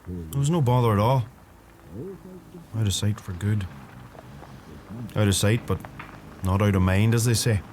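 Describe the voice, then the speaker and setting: An actor as a low-voiced brooding young Donegal farmer. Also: male voice, measured pace, long pauses, a little mellow, slight background noise, natural voice. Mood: guarded, awkward. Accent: Irish, Donegal. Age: young adult. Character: young Irish farmer, brooding, awkward, bitterly self-reproaching. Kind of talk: radio play acting with poetic monologue.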